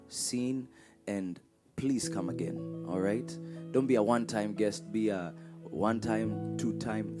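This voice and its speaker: A man hosting a church service, giving welcomes and announcements in an exhortatory way, repeating words and calling for responses. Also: on the low side. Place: church with congregation.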